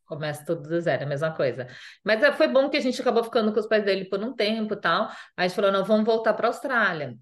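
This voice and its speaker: A woman's voice: mid-range voice, animated, fast. A woman from Brazil with a Brazilian Portuguese accent, chatting casually as an interview guest, full of fillers and repeated phrases.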